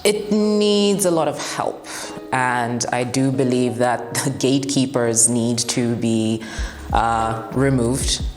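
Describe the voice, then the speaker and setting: A woman's voice: a bass voice, takes it slowly, darkish tone. A young woman with a commanding voice answering interview questions bluntly and laughing often. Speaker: broadcast journalist and TV presenter. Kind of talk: interview answers, relaxed and frank. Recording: echoey room with long reverb, faint music.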